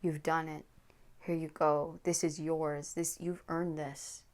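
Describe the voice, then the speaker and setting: A woman with a low voice giving a tarot card reading, speaking slowly and soothingly and often dropping into a whisper, close to the mic. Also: level tone. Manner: slow, soothing, drops into whispers. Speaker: tarot reader.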